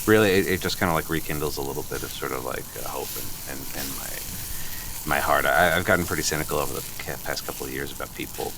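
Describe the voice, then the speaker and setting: A man playing a nervous, earnest, apologetic boyfriend in an improvised comedy radio play, halting and stammering as he talks. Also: very deep voice, vocal fry. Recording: faint sizzling barbecue noise behind.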